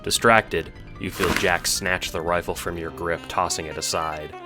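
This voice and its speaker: A man's voice: deep voice, fairly even intonation, measured pace. A man narrating an audio drama in a dramatic, scripted storytelling style, with music behind him.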